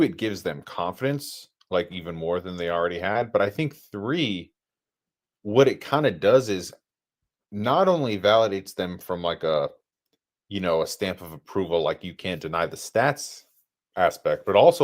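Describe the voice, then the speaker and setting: A man's voice: low voice, animated, a little slow. A man co-hosting a podcast, chatting casually and building his points step by step. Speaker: podcast co-host. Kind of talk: casual sports chat.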